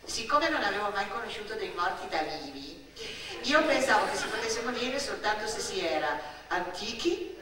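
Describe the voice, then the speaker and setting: A woman's voice: mid-range voice, expressive, normal speed, a crowd in the background. A woman with a Northern Italian accent performing a dramatic theatrical monologue, telling stories with emphatic repetitions. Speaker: stage actress and storyteller. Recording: echoey hall from far off, faint noise.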